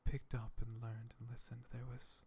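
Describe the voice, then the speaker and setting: A man voicing a calm, kindly male dragon in a soft-spoken ASMR roleplay, speaking slowly and soothingly with a gravelly whisper close to the mic. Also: medium pitch, slight background noise, natural voice. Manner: slow, hushed, comforting. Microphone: close sensitive ASMR mic.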